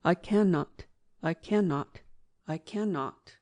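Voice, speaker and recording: Woman as a slow, weary old train engine. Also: fairly low pitch, a little mellow, no room sound. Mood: weary, defeated. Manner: sighing, plaintive, drawn-out. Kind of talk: children's storybook dramatic reading.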